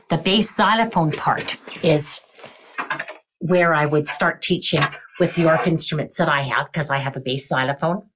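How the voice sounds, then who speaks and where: An older woman music educator leading a webinar demonstration, her voice croaky, often clearing her throat and counting beats aloud. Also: low-pitched, poor audio.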